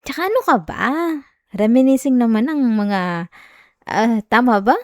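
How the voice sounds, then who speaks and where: A woman with a Filipino accent playing a sweet, clingy young wife in an intimate ASMR roleplay, speaking softly and affectionately close to the mic. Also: on the high side, lively intonation, unhurried, dry recording. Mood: fond, reminiscing. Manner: soft, giggly, affectionate, coaxing. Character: sweet, clingy, teasing young wife soothing her partner. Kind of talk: intimate ASMR roleplay, bedtime chat and story reading. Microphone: close microphone, dry sound.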